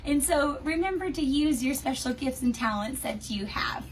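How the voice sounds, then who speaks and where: A woman teacher reading a children's story aloud in an expressive, deliberate way, addressing young listeners. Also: sits high. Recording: distant mic with faint noise.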